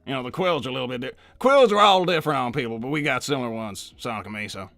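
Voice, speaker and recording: A man voicing a folksy older cartoon hedgehog who sells hot dogs, talking in a halting, rambling, hesitant old-timer way. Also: medium pitch, expressive, fairly bright tone, dry recording, soft background music. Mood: rambling, easygoing. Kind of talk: improvised in-character livestream roleplay.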